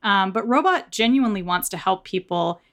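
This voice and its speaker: Female interview guest, medium pitch, informal and explanatory, an author discussing work. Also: animated, moderate pace, a bit bright.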